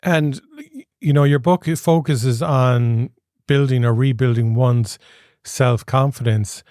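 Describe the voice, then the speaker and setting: A man's voice: medium pitch, slow, darkish tone, no room sound, studio quality. Curious male podcast host. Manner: curious, probing questioner. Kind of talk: interview questions.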